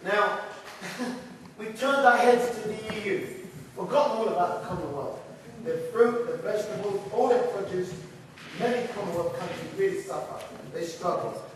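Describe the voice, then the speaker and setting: A man with a notably high voice gives an animated campaign speech at a public meeting, breaking into laughing asides. He is heard from a distance in a big, echoing hall with a long reverb. There is a faint hiss under the voice. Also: moderate pace. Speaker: party leadership candidate. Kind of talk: hustings speech. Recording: echoey hall from far off.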